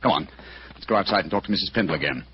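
An actor playing an exacting English gentleman detective in a radio drama, incisive and articulate. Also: sits low, expressive, rapid-fire. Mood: analytical. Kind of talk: old-time radio drama acting. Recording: dull, hissy old radio recording.

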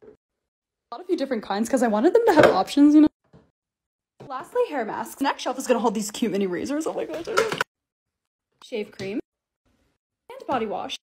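A young woman in her twenties with a slightly raspy voice, chatting excitedly in a casual voice-over while organizing her home. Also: high-pitched, very noisy. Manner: chatty, excited, quick and bubbly. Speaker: TikTok creator.

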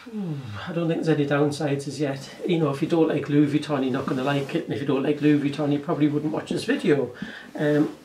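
A man in his forties with an English accent, chatting casually through a bag review, hoarse from a sore throat and often correcting himself. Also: fairly high pitch, fairly even intonation, fast talker, few pauses, dark tone, echoey room, far from the mic, slight background noise, poor audio. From England. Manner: rambling, self-correcting, chatty. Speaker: vlogger reviewing bags. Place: room indoors, curtain backdrop.

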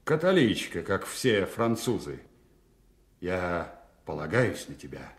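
An actor playing a stern, paternal English lord in a radio drama, speaking in a declamatory, commanding manner. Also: male voice, sits low, big pitch swings, takes it slowly, full character voice. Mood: grave warning. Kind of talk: classic radio drama acting.

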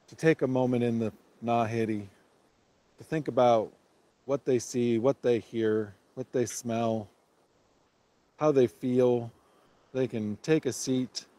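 A man narrating a walk along a nature path in a calm, guiding tone. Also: medium pitch, takes it slowly, pauses often. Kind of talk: video narration.

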